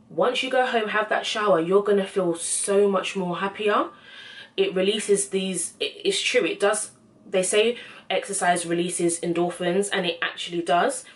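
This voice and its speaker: A young woman gives casual, encouraging advice in a slangy, informal vlog style. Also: medium pitch. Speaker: self-help vlogger.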